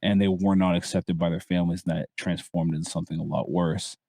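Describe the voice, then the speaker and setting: Deep-voiced young man rambling casually. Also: flat delivery, quick pace, creaky, dark tone, no echo, studio quality. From Barbados. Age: early 20s. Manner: rambling, restarts, swears casually. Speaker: guest content creator. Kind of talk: casual podcast chat.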